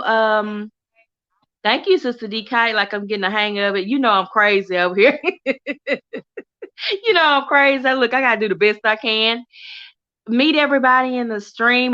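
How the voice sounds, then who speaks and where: Lively Texan woman livestream host. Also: mid-range voice, clear, resonant tone, lo-fi sound. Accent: Southern US, Texas. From Texas. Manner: animated, laughs often, chatty and warm toward viewers. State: coughing from allergies. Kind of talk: casual livestream chat reading comments.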